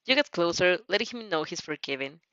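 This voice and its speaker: A woman's voice: fairly low pitch, lively intonation, brisk, fairly bright tone, no room sound, muffled recording. A woman narrating fanfiction expressively, dropping in casual asides as she goes.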